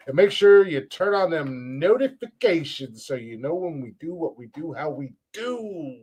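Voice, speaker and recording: A young man hosting a casual comedy podcast, loud and joking, swearing often and laughing a lot. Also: a little high, sing-song, moderate pace, breathy edge. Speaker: podcast host.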